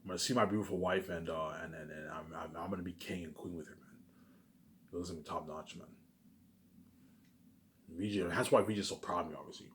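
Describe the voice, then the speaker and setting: Man, fairly low voice, rapid pace, casual vlog rambling, repetitive, sweary, emphatic bursts. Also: fairly even intonation, slight background noise. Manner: swings between loud emphatic bursts and quieter asides. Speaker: vlogger.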